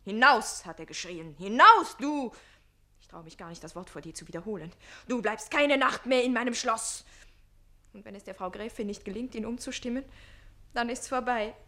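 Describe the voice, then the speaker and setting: Woman as a bright-voiced, lovesick page boy. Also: on the high side, moderate pace. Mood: distraught. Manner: breathlessly emotional, gushing, sighing. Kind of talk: theatrical German radio comedy acting.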